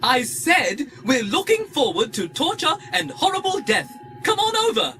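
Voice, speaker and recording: An actor playing a fussy, chattering protocol droid with a prim, fretful, effusively polite manner in an audio drama. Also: male voice, extremely high pitch, huge pitch swings, moderate pace, pushed and bright, soft background music, heavily acted. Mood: eagerly polite. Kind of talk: 1990s audio drama acting.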